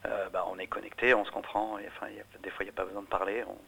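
Hesitant low gravelly-voiced man. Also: little pitch movement, rapid-fire, fairly bright tone. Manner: hesitant, many restarts and fillers. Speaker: musician guest. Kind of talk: interview.

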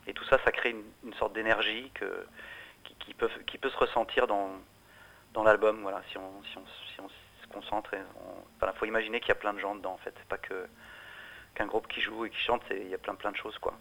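Middle-aged man, low voice, gravelly, halting, restarts a lot, interview guest. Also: flat delivery, pushed and bright, poor audio. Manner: hesitant, many restarts and fillers. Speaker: musician guest.

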